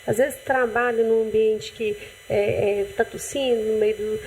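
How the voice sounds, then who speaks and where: A Brazilian woman doctor explains things calmly in a studio interview, restarting her sentences often. Her voice sits fairly high, with a faint hum in the background. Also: measured pace, low-quality recording. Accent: Brazilian Portuguese. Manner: calm, explanatory, with frequent restarts and "né".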